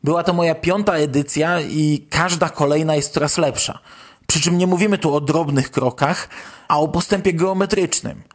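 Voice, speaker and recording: A man's voice: a little high, moderate pace. A man hosting a podcast and commenting on news. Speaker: podcast host.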